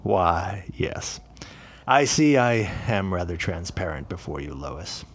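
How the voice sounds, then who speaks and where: A man playing an earnest, courteous but suspicious newspaperman in a period audio drama, speaking deliberately. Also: low voice, sing-song, heavily acted.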